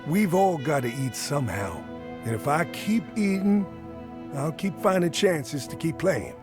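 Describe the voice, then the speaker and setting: Earnest, animated jazz musician. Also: male voice, a little high, a little slow, over music. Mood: hopeful, earnest. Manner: hesitant, folksy, mild exclamations. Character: earnest, chipper male jazz musician and party organizer. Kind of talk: voiced dialogue in a narrative RPG.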